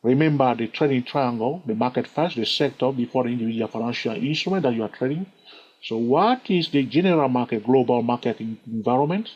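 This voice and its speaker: A man teaching a chart lesson on trading, constantly checking in with the listener with quick questions. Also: medium pitch, husky. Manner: animated, keeps asking the listener "yes or no?" and "okay?". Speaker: trading instructor. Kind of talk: screen-recorded chart lesson. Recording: dull lo-fi recording.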